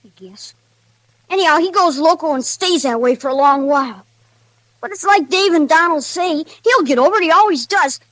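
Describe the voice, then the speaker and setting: A woman's voice: sits high, expressive, moderate pace, a bit bright, no echo. A woman playing a sullen, stubborn young farm boy in a radio western, terse and folksy with a rural American West accent. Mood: reluctant, matter-of-fact. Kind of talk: old-time radio western acting. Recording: dry vintage radio recording.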